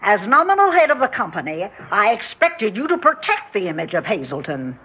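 An actress playing an imperious, sharp-tongued woman of eighty-four with a husky, commanding voice in a 1960s radio drama. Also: medium pitch, huge pitch swings. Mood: angry, commanding. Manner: commanding, cutting, deliberate. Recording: dull 1960s radio recording.

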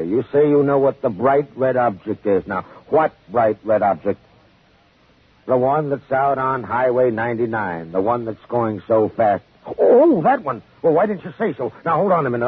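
An impatient, flustered male police sergeant with a very raspy, mid-pitched voice. The old radio drama recording is dry and tinny. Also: no echo. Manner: exasperated, questioning. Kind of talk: 1950s radio drama acting.

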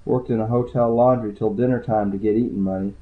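A man voicing a tired, devout young woman who sees the future, speaking softly and earnestly. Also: fairly low pitch, steady tone, quick pace, lightly acted. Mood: weary. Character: weary sniffling young woman seeress, devout. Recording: tinny phone-like audiobook.

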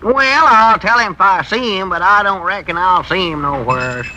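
An actor playing a folksy small-town storekeeper with a rural Southern American accent, in 1940s radio style. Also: male voice, high voice, moderate pace, pushed and bright. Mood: folksy, flustered. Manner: homespun, rustic grammar. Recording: muffled, hissy 1940s radio recording.

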